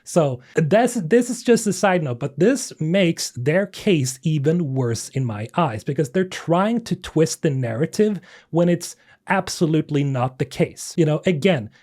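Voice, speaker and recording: A young man with a somewhat high voice talks through gaming news in a loose, animated way. He keeps sounding incredulous, leans on fillers and laughs, and his voice has gone a little raspy and tired. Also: a little slow. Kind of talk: casual commentary video.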